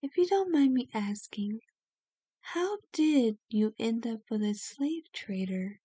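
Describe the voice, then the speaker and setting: Soft, slow, genteel vampire lady played by a woman. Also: fairly high pitch, expressive, breathy edge, dry recording, full character voice. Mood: gentle, welcoming. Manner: gentle, soothing, formal and genteel wording. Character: kind, genteel female vampire, ageless, soothing and possessive. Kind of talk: ASMR roleplay acting.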